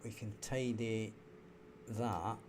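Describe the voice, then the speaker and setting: A young man with a softened Scottish accent, thinking aloud as he works through a live game development stream. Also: fairly even intonation, slow, background noise. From Scotland. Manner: thinking aloud while working, low-key. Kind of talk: live coding stream talk.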